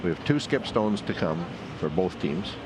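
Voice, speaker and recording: A very low male voice talks through the action in a steady, explanatory way, stopping often on an "uh" as he works out the next shot. the broadcast audio carries a loud wash of arena noise under him. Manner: measured, explanatory, frequent "uh" pauses. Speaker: play-by-play commentator. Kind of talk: sports commentary.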